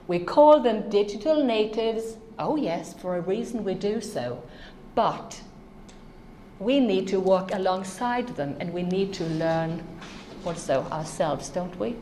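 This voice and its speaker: A woman's voice: mid-range voice, dark tone, roomy sound, slight background noise. A woman from Norway, a native Norwegian speaker, giving an earnest, emphatic conference talk on ministry to children.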